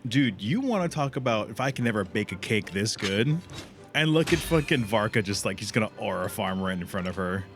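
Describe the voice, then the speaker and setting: Low-voiced man streaming a game, slangy. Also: lively intonation, fairly quick. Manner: crude, slangy, swearing. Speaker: livestreamer playing a video game. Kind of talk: casual live game stream commentary. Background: game music.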